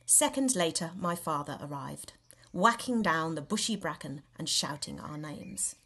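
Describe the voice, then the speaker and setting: A woman reading a novel extract aloud in an expressive, theatrical and measured storytelling style. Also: deep voice, breathy edge. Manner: theatrical, measured storytelling with dramatic emphasis and hushed dips. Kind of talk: expressive scripted audiobook narration.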